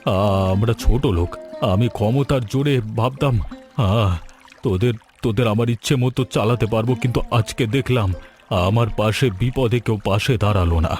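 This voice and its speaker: A man playing a remorseful older landowner in a cartoon, once proud, speaking in a halting, confessional way. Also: medium pitch, a little mellow, natural voice. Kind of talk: dramatic cartoon dialogue. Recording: music bed under the voice.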